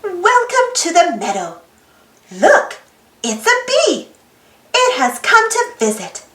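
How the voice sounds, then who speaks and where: A woman reading a children's storybook aloud in an expressive, sing-song way, putting simple questions to young listeners. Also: very high voice, takes it slowly, roomy sound. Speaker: storybook reader. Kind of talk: children's read-aloud narration.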